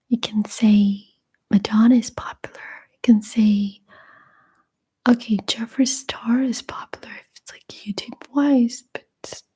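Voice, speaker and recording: A young woman whispering softly in a breathy voice, a native Russian speaker chatting unhurriedly as she answers viewers' questions in an ASMR stream. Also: fairly high pitch, slight background noise. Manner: soft, unhurried, chatty, laughs often.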